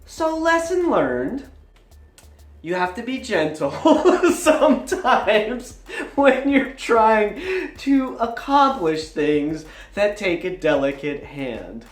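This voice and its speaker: Male vlogger, 40s, casual storyteller, highly varied pitch, theatrical emphasis, roomy echoing recording. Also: sing-song, takes it slowly. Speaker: tabletop gaming vlogger. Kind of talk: casual personal storytelling to camera.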